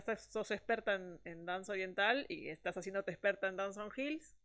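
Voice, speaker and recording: A woman's voice: medium pitch, a little fast, crowd noise. A woman hosting an interview, asking short, direct questions in a friendly way. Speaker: interviewer, host. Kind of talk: conversational interview.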